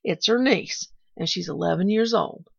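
A woman's voice: lowish voice, normal speed, vocal fry, slightly rough, no room sound, exaggerated voice. A woman reading the part of a feisty, resentful housemaid who talks in plain country grammar, on a dull lo-fi recording. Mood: scornful. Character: feisty young maid, resentful and blunt.

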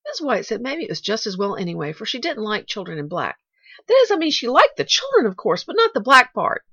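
A woman's voice: a little high, wildly swooping pitch, fairly quick, bright, forceful tone, husky, dry recording, muffled recording, pitched above the performer's own voice, exaggerated voice. A woman voicing an eager, bravely glad orphan girl in an audiobook, rambling breathlessly and correcting herself. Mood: earnest, rambling. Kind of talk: audiobook character voice.

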